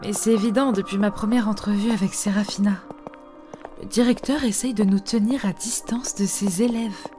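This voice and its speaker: A woman's voice: medium pitch, steady tone, normal speed, mellow, faint music behind, lightly acted. A woman playing an officious, disapproving agent of the authorities in an amateur webtoon dub, speaking formally. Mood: suspicious.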